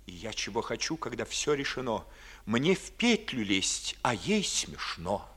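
An actor playing a lovesick, sharp-witted young nobleman in a 1950s Russian verse radio play, with declamatory, theatrical delivery. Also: medium pitch, big pitch swings, unhurried, slightly rough, exaggerated voice. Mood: wounded, sarcastic. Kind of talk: 1950s Russian classical stage acting in verse.

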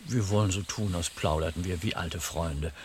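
An actor playing a courteous, probing police inspector in a radio crime drama, measured, discreet and quietly insistent. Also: male voice, low-pitched, a little hiss or hum, close to an everyday voice. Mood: confidential.

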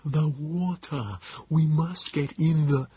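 Tiny feeble creature for an audiobook, real slow n gasping, breathy high voice, bit muffled. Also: male voice, no room sound, heavily acted. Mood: gasping, pleading. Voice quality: thin liquid voice, wheezing and breathy. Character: frail small male Morlock creature, wheezing and pleading.

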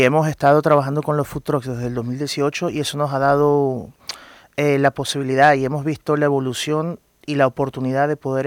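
A man speaks as a guest in a radio interview. He explains earnestly, often pausing on fillers, and his voice sits at a middle pitch. The sound is dry and close. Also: no room sound. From Venezuela. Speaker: guest entrepreneur.

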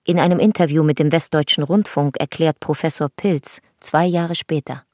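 A woman's voice: sits low, fairly even intonation, moderate pace, darkish tone, no echo, clean studio sound, close to an everyday voice. A woman playing an impersonal narrator in a radio play, reading out files in a clipped, matter-of-fact documentary style. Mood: neutral, official.

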